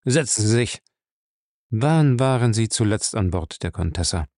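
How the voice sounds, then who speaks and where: Male narrator as brutal captain, audiobook interrogator, curt, gruff, commanding, threatening, close dry sound. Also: on the low side, expressive, unhurried, darkish tone, dry recording. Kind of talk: audiobook character acting.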